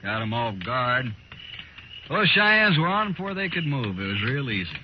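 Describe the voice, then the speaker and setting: Sneering renegade gunrunner, a man acting, lively pitch. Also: normal speed, close to an everyday voice. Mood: boastful. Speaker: actor in a radio western. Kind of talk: 1950s radio drama acting. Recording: noisy, muffled old radio recording.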